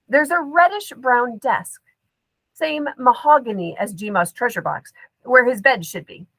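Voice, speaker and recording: A woman's voice: a little high, gravelly, fairly bright tone, breathy edge, no echo, low-quality recording. A woman narrating a children's story expressively from a script, acting out the drama as an audiobook reader. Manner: dramatic, acts out dialogue.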